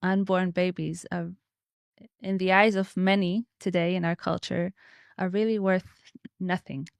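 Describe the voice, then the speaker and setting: A woman's voice: on the low side, flat delivery, a little slow, creaky, dry recording. A woman in her thirties speaking conversationally as an interview guest, telling personal stories and explaining her views. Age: 30s.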